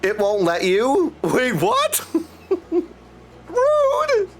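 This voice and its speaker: A man's voice: very high voice, huge pitch swings, very slow, vocal fry. A man livestreaming casually, greeting viewers with effusive affection as he chats. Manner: effusive affectionate greetings, often addressing listeners as dudes. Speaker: live streamer greeting chat.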